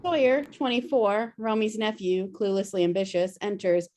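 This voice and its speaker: A woman's voice: medium pitch, steady tone, moderate pace, a little mellow. A woman narrating stage directions at a script table read. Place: remote video call.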